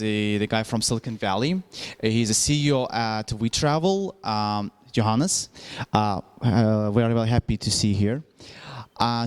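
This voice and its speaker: A man's voice: medium pitch, smooth. A man hosting an event, introducing the guest speaker with many hesitant fillers. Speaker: event host.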